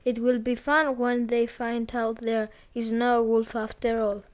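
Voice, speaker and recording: A woman voicing a mischievous young shepherd boy who shouts for help. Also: a little high, level tone, measured pace, close to an everyday voice. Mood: playful. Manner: calls out loudly, playful then pleading.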